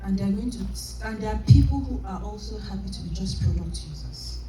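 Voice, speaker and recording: A woman giving a motivational sales training talk at a convention, animated and emphatic, often laughing. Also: mid-range voice, fairly quick, background noise. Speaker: business trainer. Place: large convention hall. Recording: echoey hall from far off.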